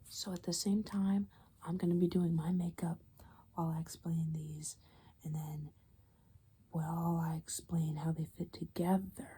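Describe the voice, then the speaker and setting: Low, creaky, soft-spoken ASMR woman. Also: a little hiss or hum. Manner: rambling, conversational, confiding. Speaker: ASMR video creator and host.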